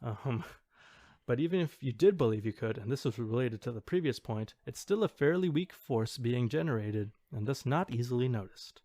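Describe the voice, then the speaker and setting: A man talks as a podcast co-host, casually summing up what people posted online. His delivery is halting and hesitant, at a middle pitch. The recording is dry. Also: animated, warm tone, dry recording. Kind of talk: casual summarizing of forum threads.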